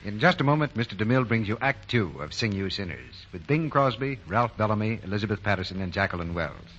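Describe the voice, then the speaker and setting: A man's voice: on the low side, lively intonation, fast talker, a bit bright. An American man announcing a 1940s radio program in a scripted presenter's style. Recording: dull, faintly noisy 1940 radio recording.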